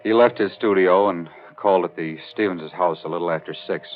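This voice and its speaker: An actor playing a sober man recounting a murder case in measured, matter-of-fact 1940s radio drama narration. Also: deep voice, natural voice. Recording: muffled old 1940s radio broadcast.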